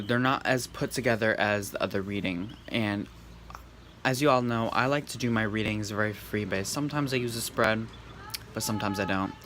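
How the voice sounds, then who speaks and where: A man giving a casual tarot reading in a calm, serene, monotone way. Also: on the low side. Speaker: tarot reader. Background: faint background noise.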